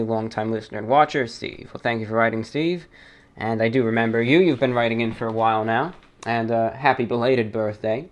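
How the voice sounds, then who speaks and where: Male host, medium pitch, casual mail-reading and commentary, halting and deliberate delivery with repeated phrases. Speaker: host of a listener-mail show.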